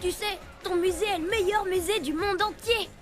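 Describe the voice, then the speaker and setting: A woman voicing an exuberant, adoring young boy hero in an animated film. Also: extremely high pitch, moderate pace. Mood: grateful, proud. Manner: excitable, exclamatory. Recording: music and crowd behind.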